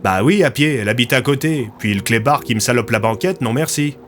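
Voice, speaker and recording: A man playing a gruff, businesslike police inspector in an audio drama, speaking bluntly and colloquially. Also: medium pitch, brisk, slight background noise, lightly acted. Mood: blunt, grumbling.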